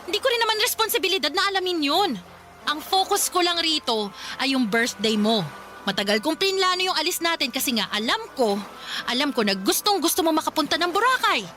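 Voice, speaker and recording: A woman's voice: high-pitched, expressive, a little fast, sharp tone, slight background noise. A woman playing an insistent, hurt girlfriend who demands to come first, in a Filipino radio drama. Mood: exasperated. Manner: emphatic, indignant, argumentative. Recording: music behind the voice.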